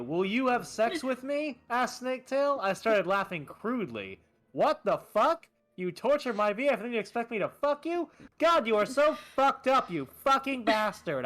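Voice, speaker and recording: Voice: medium pitch, very animated, moderate pace, a bit bright. A theatrical, amused reader giving a comedic reading of fan fiction, often breaking into laughter and dropping in asides.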